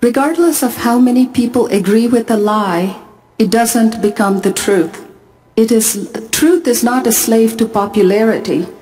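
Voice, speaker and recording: A middle-aged woman from Pakistan gives a testimony talk at a conference. Her voice sits a little high. She speaks earnestly and measures her phrases, pausing with care. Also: warm tone. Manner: measured, earnest storytelling with deliberate pauses. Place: large arena.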